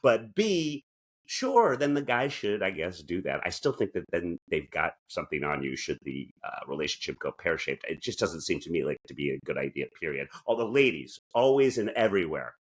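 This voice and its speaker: A middle-aged man co-hosting a podcast in casual comedic chat, rambling and joking with halting asides. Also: low-pitched, very animated. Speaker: podcast co-host.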